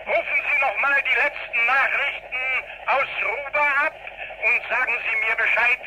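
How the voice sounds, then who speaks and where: A high, sharp-voiced priest pilot. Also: male voice, fairly even intonation, normal speed, full character voice, low-quality recording. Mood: calm, reflective. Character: determined male priest piloting a rescue flight. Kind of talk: radio play acting. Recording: faint noise, 1980s radio drama.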